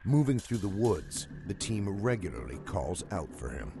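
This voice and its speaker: A man reading scripted documentary narration in a measured, storytelling way. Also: low-pitched, big pitch swings, creaky. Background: music.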